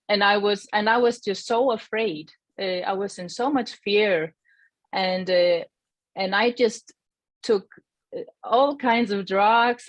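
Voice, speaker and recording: A woman from Iceland, giving a gentle, reflective personal testimony as a guest and often searching for her words. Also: mid-range voice, no room sound.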